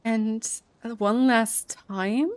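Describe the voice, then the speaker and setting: Slow-talking woman musing over a game. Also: a little high, fairly even intonation, clean tone, no room sound. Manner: musing, repeating the dialogue aloud. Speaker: gameplay commentator. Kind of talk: casual playthrough commentary.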